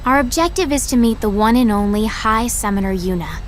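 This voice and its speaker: A woman's voice: a little high, expressive, brisk, slight background noise, close to an everyday voice. A woman voicing a sarcastic seventeen-year-old envoy. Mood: narrating. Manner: sarcastic, dismissive. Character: sharp-tongued teenage girl council envoy. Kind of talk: game audio drama voice acting, with inner narration. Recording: voice over music.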